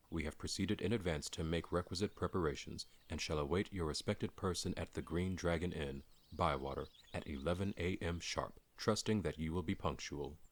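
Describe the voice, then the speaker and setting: A man reading as a formal, pompous dwarf letter-writer, measured and dry, in a storybook. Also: sits low.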